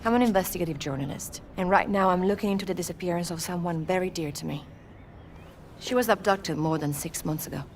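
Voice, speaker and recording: A woman playing a sharp, guarded investigative journalist with an Italian accent in a cinematic game, probing and self-assured. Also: on the low side, quick pace, slight background noise, lightly acted. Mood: earnest.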